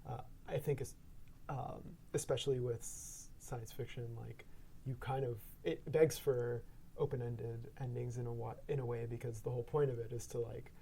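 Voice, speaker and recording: A man talking casually in a round-table discussion, halting and hesitant in his phrasing. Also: mid-range voice, fairly even intonation, gravelly, warm tone, a little hiss or hum.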